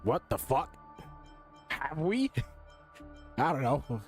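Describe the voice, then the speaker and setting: A man's voice: a little high, big pitch swings, takes it slowly, creaky. A young man giving casual playthrough commentary as a gaming streamer, blunt and slangy, swearing and repeating his phrases. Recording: game music faintly behind voice.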